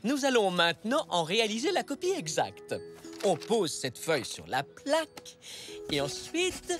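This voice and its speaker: A woman's voice: mid-range voice, huge pitch swings, a little slow, faint music behind. A woman voicing a cheerful zebra art teacher in a children's cartoon, instructive and encouraging. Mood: upbeat, instructive.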